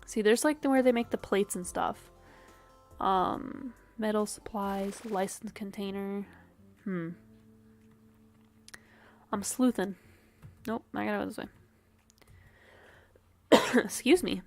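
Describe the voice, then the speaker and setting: A woman's voice: medium pitch, takes it slowly, long pauses, darkish tone, soft background music. A woman streamer with a sniffly, dry throat, casually thinking aloud and swearing while she plays a game. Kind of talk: casual game livestream commentary.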